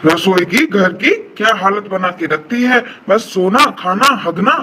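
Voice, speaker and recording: A man's voice: high-pitched, animated, measured pace, pushed and bright, a little hiss or hum, exaggerated voice. A man voicing an aggrieved, scheming elderly mother-in-law who complains like a martyr in a cartoon family melodrama. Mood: scheming, grumbling. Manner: complaining, self-pitying, martyred.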